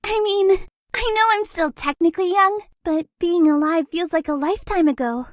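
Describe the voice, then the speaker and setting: A woman's voice: very high voice, normal speed, dry recording, studio quality. A woman voicing a sweet, awkward young female ghost for a video game, hesitant, gushing and apologetic. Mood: hopeful, tender. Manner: hesitant, bubbly, gushing, self-deprecating. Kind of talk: cute video game character acting.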